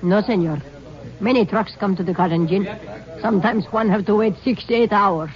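A woman's voice: mid-range voice, normal speed, crowd noise, natural voice. Lady doing a worried mexican mom, broken english, out of breath, dull old radio sound. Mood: anxious. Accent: Mexican Spanish. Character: older Mexican mother, cotton picker, protective. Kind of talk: 1950s radio acting.

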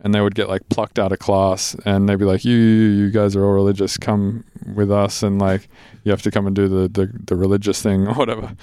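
A man's voice: fairly low pitch, flat delivery, brisk, few pauses, mellow, clear, resonant tone, dry recording, studio quality. An American man chatting casually in a blunt, informal, sweary way. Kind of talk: casual chat.

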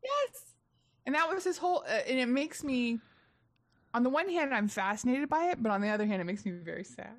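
A young adult woman, a stand-up comedian, chatting casually on a podcast and telling gossipy road stories with lively animation. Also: high-pitched, fairly quick, no echo. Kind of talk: casual podcast chat, storytelling.

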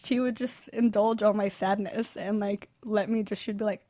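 A woman's voice: medium pitch, fairly even intonation, moderate pace, warm tone. A young adult woman hosting a radio show, chatting casually with a friend, self-deprecating and laughing often. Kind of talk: casual chat.

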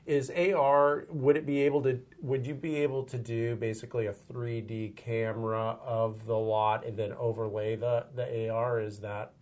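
A man's voice: mid-range voice, flat delivery, normal speed, hardly any pauses, darkish tone. A man hosting an interview, asking curious questions and thinking aloud with fillers. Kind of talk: conversational interview.

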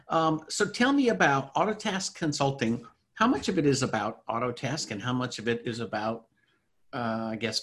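A man's voice: mid-range voice, a bit bright. A middle-aged man hosting a podcast, reading an intro and asking easygoing interview questions. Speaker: podcast host.

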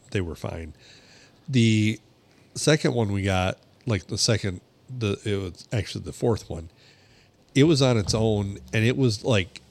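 A man's voice: fairly low pitch, a little mellow. A man co-hosting a podcast from a home garage, telling rambling stories in a casual chat and swearing freely. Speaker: podcast co-host.

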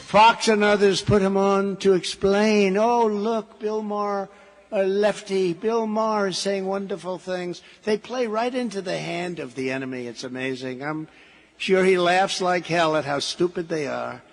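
A man's voice, pitched high for a man, sounds scornful and emphatic. He comes from a replayed radio clip with faint music under it. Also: measured pace. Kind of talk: archived talk radio clip.

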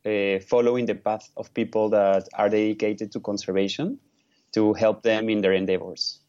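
A man from Peru, an environmental lawyer and podcast guest, answering questions in a halting way with many restarts and fillers. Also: fairly low pitch, level tone, darkish tone, highs cut off. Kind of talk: conversational interview answers.

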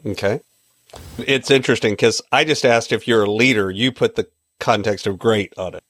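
A man's voice: fairly low pitch, moderate pace, a bit bright, no echo, studio quality. A man hosting a podcast interview, asking questions in an easygoing way with light laughs. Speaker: podcast host.

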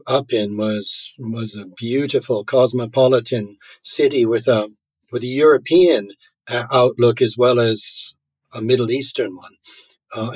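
A man interviews a guest, asking courteous, sometimes halting questions with repeated words. His voice is husky and raspy, recorded dry and close. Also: mid-range voice, a little mellow, dry recording, low-quality recording. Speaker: host, interviewer.

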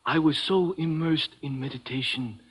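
A man's voice: fairly high pitch, normal speed, husky, poor audio. A man acting a serene, withdrawn old priest in a 1950s radio drama. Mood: solemn. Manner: solemn, detached, formal.

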